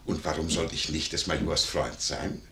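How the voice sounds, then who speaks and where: An actor playing a nosy, greedy, fawning innkeeper with an ingratiating, wheedling manner, in a classical comedy radio play. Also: male voice, very deep voice, normal speed, a bit bright. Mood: indignant, offended. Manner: ingratiating, wheedling, over-protesting. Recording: roomy vintage 1950s radio recording.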